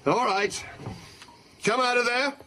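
An actor playing a blunt, edgy armed searcher in a radio drama. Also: male voice, very high voice, slow, heavy background noise. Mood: wary. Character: edgy armed man searching a wrecked building. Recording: dramatic music behind the voice.

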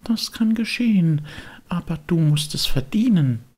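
A man voicing a gentle, motherly fairy, kind but admonishing, in a measured audiobook character voice. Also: on the high side, expressive, voice pushed up. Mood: gently instructive.

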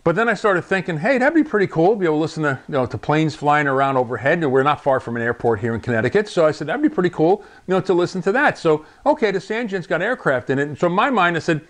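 A man's voice: fairly high pitch, expressive, quick pace, few pauses. A man in his sixties, a ham radio channel host, chatting casually and rambling a little as he unboxes a radio in his home radio room on a lapel mic. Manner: chatty, rambling, chuckles often. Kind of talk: casual product unboxing vlog.

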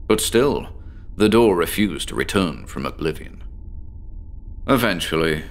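A sleepless, eccentric professor confides in his doctor in a very low, dark voice. He talks in measured, deliberate phrases with dramatic pauses, in the manner of a horror radio play. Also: full character voice. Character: eccentric obsessive male professor, sleepless, confessional. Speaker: patient recounting his experiment to a doctor. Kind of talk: horror audio drama monologue.

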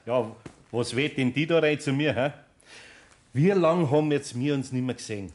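A man's voice: mid-range voice, normal speed, close to an everyday voice. An older man with a Bavarian accent playing a good-natured master carpenter in a 1960s German radio play, homely and hesitant. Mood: surprised, friendly.

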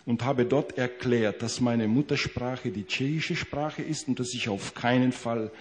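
Man, courtroom interpreter, halting, fillers, old tape recording. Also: mid-range voice. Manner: hesitant, searching for words.

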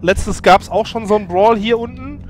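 A man's voice: sits high, a little fast. A man co-commentating a video game match, analytical and eager about tactics.

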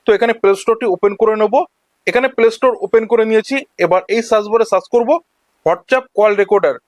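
A man's voice: sits high, quick pace, dry recording. A man narrating an instructional screen-recording tutorial, explaining each step in turn as a voice-over. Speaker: tech tutorial host.

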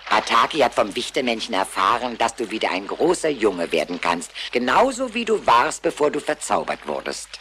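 A woman playing a solemn old wild goose leader in a cartoon audio drama, measured and grave. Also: a bass voice, sing-song, a bit bright, slight background noise, heavily acted. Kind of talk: animated series audio drama acting.

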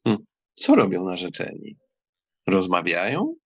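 A man playing a reserved, watchful young count in an audiobook, speaking tersely and deliberately. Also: low voice, wildly swooping pitch, no room sound, exaggerated voice. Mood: dry curiosity. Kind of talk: dramatized audiobook reading.

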